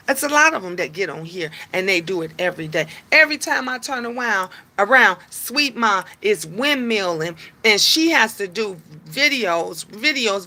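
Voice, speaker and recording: A woman, hosting a casual livestream and venting in a fired-up, repetitive way with drawn-out filler sounds. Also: fairly high pitch, pushed and bright. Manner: emphatic, repeats lines, drawn-out filler sounds. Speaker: livestream host.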